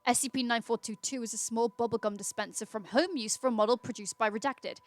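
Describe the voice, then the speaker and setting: A woman's voice: on the high side, no room sound. A woman hosting a livestream, chatting casually and reading aloud informally, with small stumbles and self-corrections. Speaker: livestream host.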